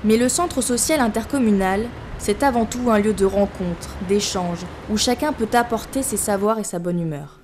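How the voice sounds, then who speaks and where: A woman's voice: medium pitch, normal speed, few pauses, darkish tone, a little hiss or hum. A woman reading scripted narration for an informational documentary.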